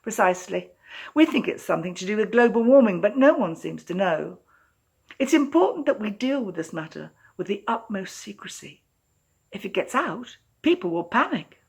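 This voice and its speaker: A woman's voice: medium pitch, big pitch swings, normal speed, creaky, slightly rough, voice pushed up, full character voice. A woman voicing a nervous female city mayor in a storybook tale, speaking formally and urgently.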